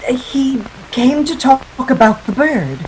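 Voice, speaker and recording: Woman playing a lilting, high-voiced radio femme fatale. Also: lively intonation, slow, a little hiss or hum, rough recording. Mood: anxious. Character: scheming, seductive femme fatale dame, world-weary liar. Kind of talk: 1940s radio melodrama acting.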